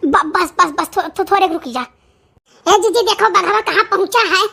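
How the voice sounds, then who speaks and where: A performer voicing a fretful, panicky cartoon village woman with a squeaky high voice and an Awadhi accent, wailing and pleading in comic exclamations. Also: normal speed, creaky, pushed and bright, exaggerated voice. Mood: anxious urging. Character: panicky squeaky village women fleeing a tiger, fretful and squabbling. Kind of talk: animated comedy dialogue in cartoon skit.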